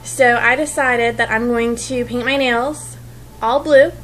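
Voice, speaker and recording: A woman's voice: a little high, steady tone, unhurried, fairly bright tone, a little hiss or hum, poor audio. A woman chatting casually to viewers as a book reviewer. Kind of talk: casual video chat.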